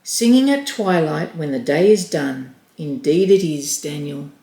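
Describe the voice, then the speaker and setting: Gentle cricket, calm and low, by a woman reader, roomy echo. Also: measured pace. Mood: content. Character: calm cricket, content at dusk.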